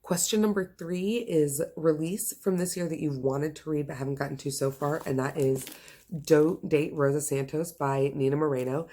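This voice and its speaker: A woman with a low-pitched voice talks through book picks in a casual vlog style. Her delivery is enthusiastic and emphatic, like a host recommending favorites to viewers. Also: fairly even intonation, moderate pace, dark tone.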